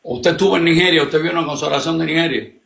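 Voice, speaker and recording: A man's voice: on the high side, fast, creaky, fairly bright tone. A man in a casual religious debate, speaking bluntly and animatedly, with casual swearing. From Cuba. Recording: echoey room.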